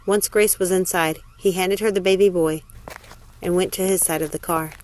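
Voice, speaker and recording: A woman's voice: fairly low pitch, fairly even intonation, normal speed, a little mellow. A woman narrating a novel aloud in scripted audiobook style. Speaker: narrator, story reader.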